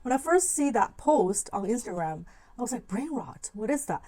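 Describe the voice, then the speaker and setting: A woman with a fairly high voice hosts a talk-to-camera video essay. She is a non-native English speaker with an informal, conversational delivery, voicing her own thoughts as she explains. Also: big pitch swings, normal speed, warm tone. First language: not English. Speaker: video channel host.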